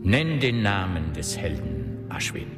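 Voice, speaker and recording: An actor playing a solemn, ceremonial mentor who guides a young hero in a fantasy audio drama. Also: male voice, sits low, very animated, unhurried. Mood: solemn, commanding. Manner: ceremonial, commanding. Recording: long hall reverb, music behind.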